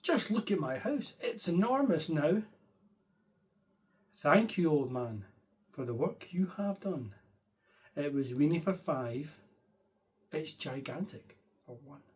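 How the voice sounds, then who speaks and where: Casting: fretful little old lady for a storybook, played by a man, high, plaintive, drawn-out delivery. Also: big pitch swings, darkish tone. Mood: delighted. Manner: plaintive, drawn-out pleading and exclaiming.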